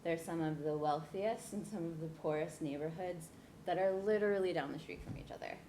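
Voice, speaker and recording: A woman in her thirties from New Jersey, telling her life story to a leadership workshop in an earnest way, with pauses and fillers. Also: low voice, dark tone, a little hiss or hum, low-quality recording. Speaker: guest speaker. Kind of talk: personal storytelling talk. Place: event room.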